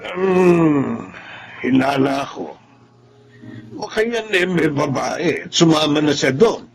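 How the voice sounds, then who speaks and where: An actor playing a gruff, cynical old father who nags, in radio melodrama style. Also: on the high side, big pitch swings, slow, a crowd in the background, full character voice. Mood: scornful. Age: older.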